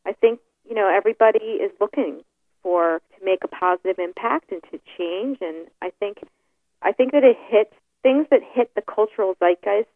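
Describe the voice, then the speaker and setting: A woman's voice: mid-range voice, moderate pace, no room sound. Woman on a tinny phone line. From New York. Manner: hesitant, many fillers and restarts. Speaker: interview guest. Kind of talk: casual interview chat. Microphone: telephone.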